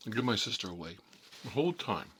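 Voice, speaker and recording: A man's voice: on the low side, very animated, quick pace, a bit bright, slight background noise. A man playing a talkative, hot-headed mob boss in an improvised ASMR comedy monologue, rambling and repeating himself in streetwise slang. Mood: aggrieved, boastful.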